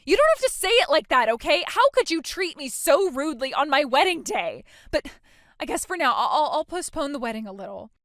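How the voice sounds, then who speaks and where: A woman's voice: high-pitched, animated, brisk, a bit bright, dry recording, studio quality. A woman playing a self-centered young bride, whiny, oblivious and entitled, in a dramatized story. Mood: petulant, pleading. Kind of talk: dramatized phone-call story dialogue.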